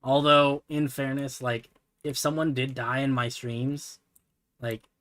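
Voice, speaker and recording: Streamer guy, soft relaxing voice, mid pitch, dry close sound, rambly and self-deprecating, keyboard clicks behind. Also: flat delivery, takes it slowly, dry recording. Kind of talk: casual livestream chat while building.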